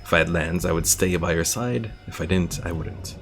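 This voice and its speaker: A male gaming streamer with a deep voice full of creak. He talks casually through his play and reads viewers' messages aloud, with game music behind him. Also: normal speed. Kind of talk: casual live game commentary, reading out chat.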